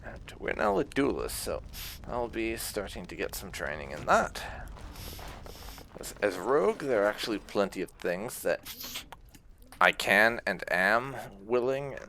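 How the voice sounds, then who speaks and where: A man's voice: fairly low pitch, big pitch swings, unhurried, vocal fry, pushed and bright, slight background noise. A man giving casual let's play commentary as a gaming host, musing aloud as he goes. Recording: game music behind the voice.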